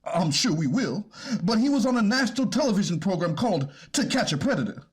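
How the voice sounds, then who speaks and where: Defense lawyer, acted by a man: high-pitched, very animated, politely contesting in a courtroom play. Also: a little fast, breathy edge, full character voice. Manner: explanatory, polite but contesting. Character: argumentative, apologetic male defense attorney in court. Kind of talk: amateur readers theater courtroom drama.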